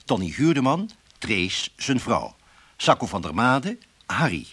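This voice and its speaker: Husky male announcer, sweeping intonation. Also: mid-range voice, sing-song, measured pace, a bit bright. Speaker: radio announcer reading the credits. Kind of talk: scripted radio announcement.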